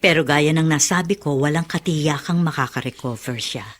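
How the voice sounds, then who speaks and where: A woman's voice: low voice, normal speed. A woman playing a frank, cautious doctor in a radio drama. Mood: grave, frank. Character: frank, cautious doctor giving a guarded prognosis.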